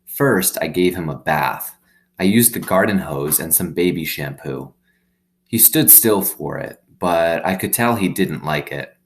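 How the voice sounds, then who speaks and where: A man reading a children's story aloud like a teacher, pausing to explain simply and check in with little questions. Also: low-pitched, animated, a little hiss or hum. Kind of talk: read-aloud with explanatory asides.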